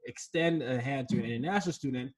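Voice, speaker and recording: A man's voice: medium pitch, quick pace. A man from Nigeria, an author and guest, talking earnestly and reflectively in a conversation and often repeating words as he starts a thought. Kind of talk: conversational interview.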